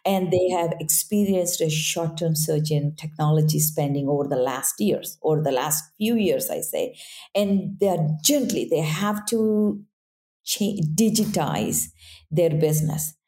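Woman guest, accented English, mellow warm tone, earnest, says "right?" a lot. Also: sits low, unhurried. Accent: non-native English accent. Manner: earnest, repeats "right?" to check in. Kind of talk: casual interview talk.

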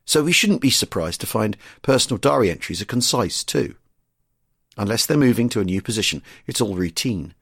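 Measured male narrator, husky tone, dry sound. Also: fairly low pitch, animated, a bit bright, no echo. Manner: measured, informative. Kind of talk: scripted documentary narration.

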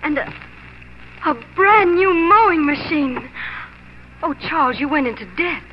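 A woman's voice: high-pitched, lively intonation, a little slow. An American actress playing a devoted, hopeful young pioneer wife and mother, earnest and emotional in 1940s radio style. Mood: delighted, loving. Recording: muffled, noisy 1940s radio recording.

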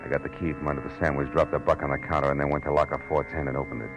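A hard-boiled private eye with a low voice and a terse, sardonic way of talking. He narrates like a world-weary gumshoe on 1940s radio, with music clearly behind him on the old recording. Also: level tone, very fast. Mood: grim narration. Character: hard-boiled, wry male private detective narrating his case. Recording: vintage radio drama with music.

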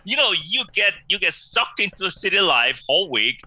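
A middle-aged man from Korea speaks with a very bright, forceful tone. He talks haltingly, searching for words, with frequent small chuckles. The recording is dry and close. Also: high-pitched, sing-song, dry recording, low-quality recording. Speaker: guest. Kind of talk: casual interview chat.

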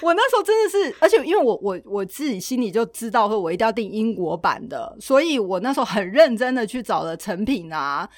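A woman podcast host with a Taiwanese Mandarin accent talks casually and with enthusiasm. Her voice sits fairly high and swings with lively intonation. The sound is dry and close, with very little room. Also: brisk, hardly any pauses, no echo.

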